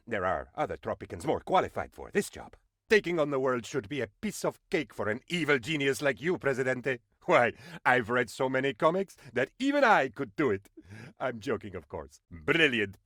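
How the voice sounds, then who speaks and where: An eager, bootlicking presidential aide from a comedy video game. His delivery is theatrical and very animated, swinging up and down as he flatters his leader. The recording is dry and close. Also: medium pitch, moderate pace, dry recording. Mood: proud, excited. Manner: obsequious, excitable, melodramatic. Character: eager fawning male presidential aide in a Caribbean republic. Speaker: game advisor character.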